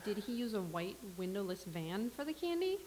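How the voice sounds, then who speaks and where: A woman's voice: mid-range voice, very animated, moderate pace, a little mellow, lightly acted, poor audio. A woman in a staged reading playing a dry, sardonic woman in a coffee shop.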